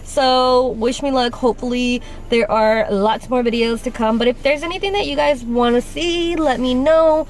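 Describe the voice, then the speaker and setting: A young woman with a Spanish accent talks loosely and chattily to her handheld camera. Her voice sits a little high, and her sentences ramble along, full of "like" fillers. She speaks as a native Spanish speaker talking in English. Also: fairly even intonation, normal speed, few pauses. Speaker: vlogger. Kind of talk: casual chat. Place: car and gym.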